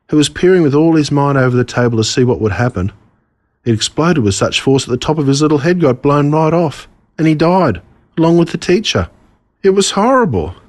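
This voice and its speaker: An Australian man reading a scripted children's storybook in a dramatic, deliberate way, relishing the gruesome parts. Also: on the high side, a little mellow. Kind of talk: scripted storybook narration told as a boy.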